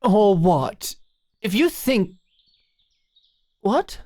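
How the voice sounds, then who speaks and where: A woman's voice: mid-range voice, very animated, drawn-out words, a little mellow. A woman playing a protective, hot-headed young female guard in a medieval fantasy audio drama, blunt and challenging. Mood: defiant.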